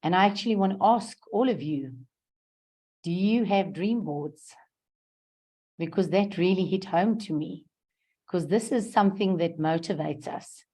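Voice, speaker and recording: A hoarse woman gives earnest, halting feedback on a video call, with many pauses and ums. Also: on the low side, mellow. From South Africa. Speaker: guest speaker sharing event feedback. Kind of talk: unscripted testimonial on a video call.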